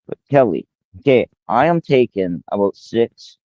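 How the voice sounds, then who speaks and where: Slow-talking man in his forties. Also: medium pitch, animated, darkish tone, dry recording, lo-fi sound. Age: 40s. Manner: rambling, addresses a viewer by name, repeats himself. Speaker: livestreamer. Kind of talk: casual livestream talk.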